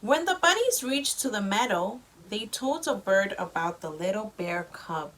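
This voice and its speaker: Expressive woman slowly reading a storybook aloud. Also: medium pitch, rough recording. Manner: slow, expressive child-directed delivery. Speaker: mother reading a story to her child. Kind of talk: storybook read-aloud with simple explanations for a child.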